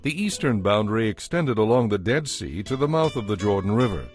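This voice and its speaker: A man reading scripted scripture narration as narrator, in a measured, formal manner. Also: lowish voice, expressive. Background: background music.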